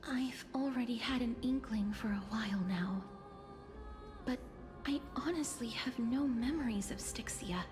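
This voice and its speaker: Woman acting melancholy game heroine, gentle, breathy, highish voice, solemn, reverberant, faint music. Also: natural voice. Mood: subdued, wistful. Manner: solemn, measured. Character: gentle, sorrowful young woman bound to death. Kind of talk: dramatic video game acting.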